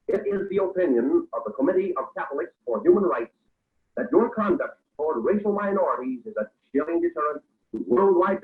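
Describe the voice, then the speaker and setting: A grave radio-drama narrator from the 1940s, delivering an accusing exposé with solemn, theatrical irony. The recording is tinny and narrow, like old radio. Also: male voice, high-pitched. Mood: stern, accusing.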